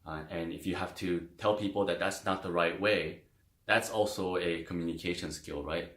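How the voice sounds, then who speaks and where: A man talks through a career topic in a relaxed, chatty way, sprinkling in "like" and "you know." His voice sits low. The room around him is roomy and echoey. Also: normal speed. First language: Korean. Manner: loose and friendly, many "like" and "you know" fillers. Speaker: video host explaining a career. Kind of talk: casual explanatory talk to camera. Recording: roomy echo.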